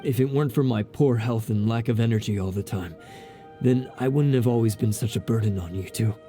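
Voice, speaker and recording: A man playing a frail, gentle, self-blaming adventurer in a video game, earnest and soft-spoken. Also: on the low side, a little fast, lightly acted. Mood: apologetic. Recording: music playing behind the voice.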